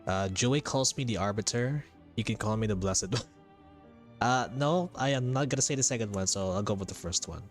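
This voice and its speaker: A man's voice: lowish voice, a little fast. A man giving casual game playthrough commentary as a streamer, rambling as he thinks aloud. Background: game music.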